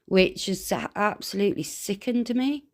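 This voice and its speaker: A British woman with a mid-pitched voice talks on a phone call as a complainant. She explains her grievance conversationally, sounding earnest and insistent, with some hesitancy as she finds her words. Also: normal speed.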